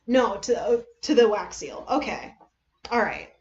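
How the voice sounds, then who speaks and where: The recording is a little dull and lo-fi, like a home livestream. A woman with a somewhat high voice chats casually with her viewers. She rambles haltingly, trailing off and correcting herself as she goes. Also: a little mellow. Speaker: livestream host reading fan fiction.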